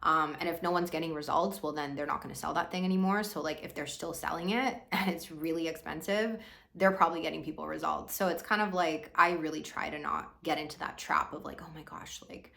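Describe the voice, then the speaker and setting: Chatty woman with a gravelly low voice. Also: fairly even intonation, fairly quick, fairly bright tone. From Hong Kong. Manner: chatty, many "like" fillers, laughs often. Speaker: business coach. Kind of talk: casual monologue to camera.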